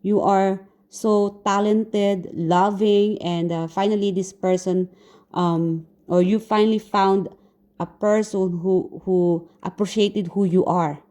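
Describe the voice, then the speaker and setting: Woman, Filipino accent, tarot reader, halting with many fillers, warm dark tone. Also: mid-range voice, fairly even intonation. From Philippines. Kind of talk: casual tarot reading to viewers.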